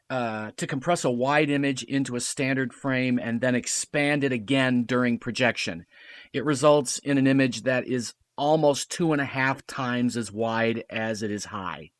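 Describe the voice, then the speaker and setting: A man's voice: medium pitch, a little slow, no room sound. Man explaining film tech, dry sound. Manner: explanatory, knowledgeable about film. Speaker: guest. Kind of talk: casual chat.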